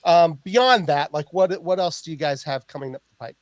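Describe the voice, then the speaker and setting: Chatty man host, lively pitch. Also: moderate pace, no echo. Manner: rambling, filler-heavy questions. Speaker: podcast host. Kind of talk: casual interview chat.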